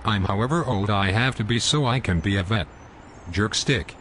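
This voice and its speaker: A man's voice: on the low side, fairly bright tone, slight background noise, natural voice. A man voicing a rude homeless bum. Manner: insulting, mumbling, slow and sulky. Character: rude scruffy homeless man who lies about everything. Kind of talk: amateur animated comedy voice.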